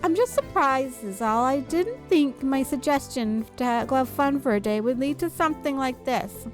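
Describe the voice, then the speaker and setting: Female gaming host, slightly high voice, story read-aloud with the odd stumble, soft music underneath. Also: expressive, moderate pace. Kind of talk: reading a visual novel aloud.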